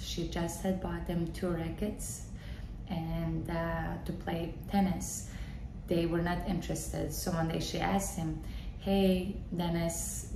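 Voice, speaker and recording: Thirties woman host from Bosnia, lowish voice, solo podcast, earnest and filler-heavy; echoey room, audible background noise. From Bosnia and Herzegovina. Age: 30s. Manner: earnest storytelling with frequent fillers. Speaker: podcast host and tennis coach. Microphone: large desk condenser mic in front.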